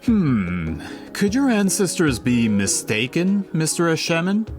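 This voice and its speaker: A pompous, skeptical gentleman from a video game. He speaks formally and impatiently in a fairly high, swooping male voice over faint music. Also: wildly swooping pitch, a little slow. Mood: probing. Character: impatient, skeptical gentleman publisher demanding hard evidence.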